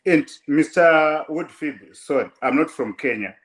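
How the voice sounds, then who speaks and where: A man's voice: fairly high pitch, measured pace, reverberant. A man from Zimbabwe with a Zimbabwean accent hosting a live interview, asking probing, theatrical questions. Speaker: talk show host.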